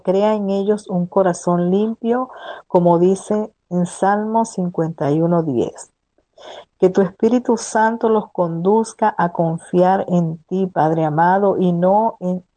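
A woman's voice: on the low side, dark tone, no room sound. A woman from Peru praying solemnly on a radio network and citing scripture verses. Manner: slow, solemn, cites scripture. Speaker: prayer contributor. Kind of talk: radio prayer.

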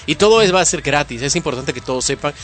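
A man's voice: on the high side, expressive, quick pace, fairly bright tone. Upbeat man announcing church event plans.

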